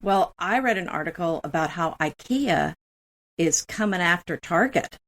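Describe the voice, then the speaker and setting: A woman's voice: lowish voice, lively intonation, moderate pace, fairly bright tone. A woman co-hosting a casual home decor chat, describing finds in a friendly, conversational way. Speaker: co-host.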